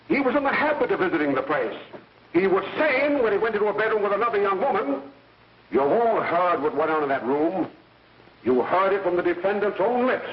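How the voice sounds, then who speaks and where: An American actor playing a stern prosecuting attorney, orating formally and emphatically in a 1930s film. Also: male voice, sits high. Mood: insistent, formal. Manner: declamatory, emphatic, righteous. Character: stern prosecuting attorney making a forceful closing argument. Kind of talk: 1930s film acting, formal courtroom oratory. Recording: dull, roomy, distant 1930s film soundtrack.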